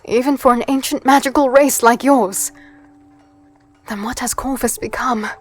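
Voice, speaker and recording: Actress playing earnest young-sounding fantasy heroine, high-ish pitch, dramatic first-person narration, audio drama, music underneath. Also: female voice, fairly even intonation, moderate pace, natural voice. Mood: earnest, pleading. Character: earnest human heroine narrating her ordeal in a strange realm.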